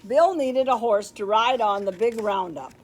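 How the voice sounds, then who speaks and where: An older woman reading a children's story aloud in a deliberate, expressive way, with explanatory asides. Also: fairly high pitch. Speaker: storybook reader. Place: indoor room with books, like a classroom or library.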